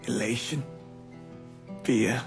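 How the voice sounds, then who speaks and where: An actor playing an earnest priest in a grave, measured, reflective game monologue. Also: male voice, mid-range voice, fairly even intonation, over music. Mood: solemn. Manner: measured, solemn.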